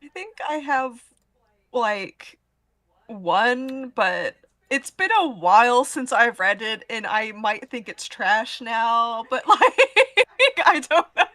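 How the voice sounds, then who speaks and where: Woman with a bright, highish voice. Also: expressive, slow, no echo. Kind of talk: casual group chat.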